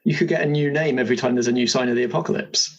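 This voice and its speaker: A man's voice: mid-range voice, very fast. A man hosting a casual interview chat at a roleplaying game, asking questions. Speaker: host, compère of character creation session. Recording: slightly dull, lo-fi sound.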